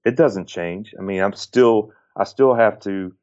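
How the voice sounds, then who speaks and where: A man in his late forties, a former baseball pitcher, giving earnest, reflective advice in conversation, often restarting phrases and listing points. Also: measured pace, a little mellow, dull audio. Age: 40s.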